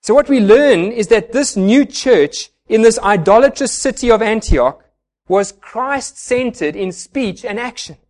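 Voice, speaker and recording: High-voiced preacher. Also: male voice, expressive, a little slow, a little mellow. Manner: earnest, pleading, explanatory. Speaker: pastor. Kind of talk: sermon. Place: church.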